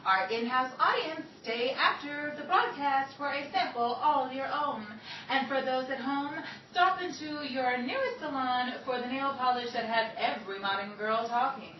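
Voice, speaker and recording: A woman's voice: high-pitched, normal speed, lo-fi sound. A woman announcer reading scripted narration in the style of vintage 1930s radio. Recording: roomy hall, distant mic, audience noise.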